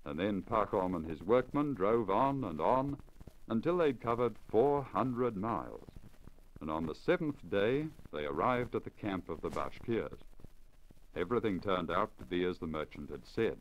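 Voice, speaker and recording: A man reading a literary story in a measured, formal, expressive manner, in the style of 1950 radio narration. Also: fairly low pitch. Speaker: story reader. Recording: crackly, dull 1950 lacquer disc recording.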